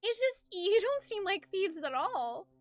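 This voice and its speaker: Soft, high young noblewoman acted by a woman for a game scene; gentle, sincere, melodic swings in pitch. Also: sing-song, a little slow, full character voice. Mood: amused. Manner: soft, near-whispered, sincere.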